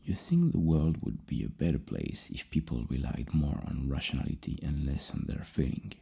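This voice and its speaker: A very low, heavily creaky voice speaks softly as an ASMR therapist reading out questions. The tone is extremely dark and husky, and the delivery is halting and thoughtful, with repeated words. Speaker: ASMR roleplay host as psychologist. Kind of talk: soft-spoken ASMR questionnaire roleplay.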